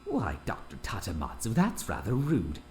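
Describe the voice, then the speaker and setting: A man playing a smug, very deep-voiced older doctor. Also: huge pitch swings, normal speed, darkish tone, slight background noise. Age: 50s. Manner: prim, mock-polite drawl. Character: smug older male doctor, oily and condescending. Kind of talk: audio drama acting.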